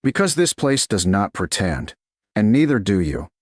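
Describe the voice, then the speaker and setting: A man voicing a humble, practical woman in a romance story, quiet, even-tempered and plain-spoken. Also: on the low side, normal speed, dry recording, studio quality. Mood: even, earnest.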